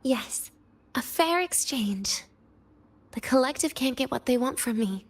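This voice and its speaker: A woman voice acting a weary, teasing, guarded and cynical young woman patient in a video game, with a breathy, languid delivery that slips into near-whispers. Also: high voice, expressive, a little slow, exaggerated voice. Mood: guarded, coy. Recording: music behind the voice. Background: background music.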